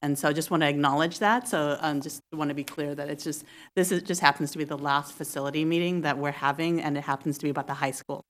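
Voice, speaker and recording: A woman's voice: low-pitched, fairly even intonation, fast. A woman on a school board, speaking carefully and hesitantly with many fillers during a meeting. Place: civic center meeting hall.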